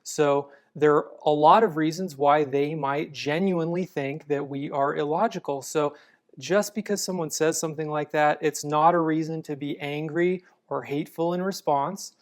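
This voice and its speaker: Measured male guest, smooth and clear, patient explainer. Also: on the high side. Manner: calm, measured, explanatory with frequent restarts. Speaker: apologetics guest. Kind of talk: conversational interview answers.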